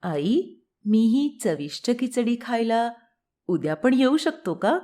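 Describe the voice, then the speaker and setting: A woman's voice: a little high, big pitch swings, slow pace, dark tone. A woman voicing an eager little boy with a childlike, pleading manner. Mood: happy, satisfied. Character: hungry, eager little boy asking mother for food.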